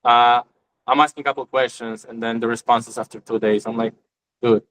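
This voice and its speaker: A man's voice: medium pitch, fairly even intonation, brisk, a bit bright, rough recording. A man from Guyana, a podcast guest, talking casually about real estate and often repeating himself as he thinks. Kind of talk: casual conversation.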